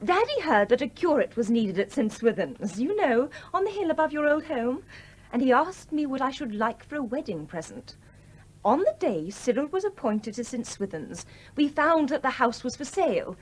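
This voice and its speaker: An actress playing an earnest, managing young married daughter in a radio play. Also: female voice, high-pitched, sing-song, normal speed, husky, full character voice, poor audio. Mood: eager, persuasive.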